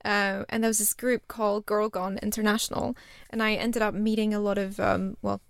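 A woman's voice: mid-range voice, level tone, normal speed, gravelly, no echo, studio quality. A young woman from Singapore, chatting as an interview guest with lots of fillers and restarts. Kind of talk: casual interview chat.

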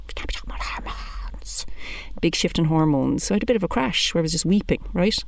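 A woman's voice: on the low side, lively intonation, fast talker, vocal fry, in a whisper. A woman in her thirties with an Irish accent, doing a chatty, blunt stand-up monologue. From Ireland. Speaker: comedian.

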